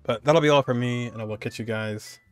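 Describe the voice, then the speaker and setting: Young male streamer, casual chatty reaction, middling pitch, faint music under him. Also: a little fast, clean tone. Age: young adult. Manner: chatty, laughs often, many fillers. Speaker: streamer reacting to a game trailer.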